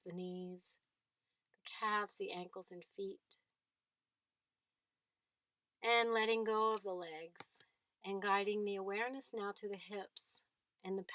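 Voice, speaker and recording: A woman guides a body scan meditation at a very slow pace. Her pitch is mid-range for a woman, and she leaves long pauses between gentle phrases. The sound is close and dry, with hardly any room reflection. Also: dry recording.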